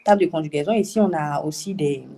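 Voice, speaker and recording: Hesitant, mellow-voiced woman guest. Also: sits low, fast talker. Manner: hesitant, repeats words, laughs often. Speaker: web writer, interview guest. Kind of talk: casual interview answers.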